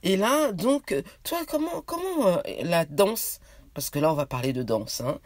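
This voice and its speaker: A woman's voice: on the low side, animated, measured pace, a little mellow, dry recording. A woman hosting a radio program, reading a scripted introduction and then prompting her guest with short questions. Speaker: radio show host. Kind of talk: radio interview. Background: music bed.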